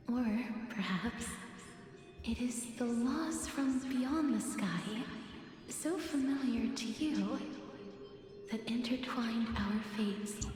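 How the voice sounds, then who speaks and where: An actress voicing a serene, mystical demigod in a video game, speaking with gentle, wistful, poetic musing. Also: female voice, on the high side. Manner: slow, breathy, poetic musing. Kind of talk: scripted video game character acting. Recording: very echoey long reverb, music underneath.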